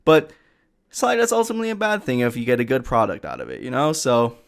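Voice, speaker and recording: A man's voice: medium pitch, very animated, fast, creaky. A man delivering comedic scripted commentary with casual asides, in a sarcastic, exasperated and sometimes profane way. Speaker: video essayist.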